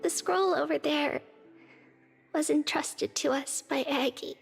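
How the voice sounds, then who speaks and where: Slow, high-pitched, childlike gentle girl. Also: creaky, big-room sound, faint music behind, full character voice. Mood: soft, wistful. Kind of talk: scripted game voice acting.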